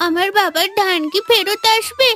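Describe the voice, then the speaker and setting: A woman's voice: extremely high pitch, normal speed, a bit bright. A woman voicing an earnest, innocent little village girl in a fairy-tale cartoon, with a thin childlike voice. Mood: tearful, earnest.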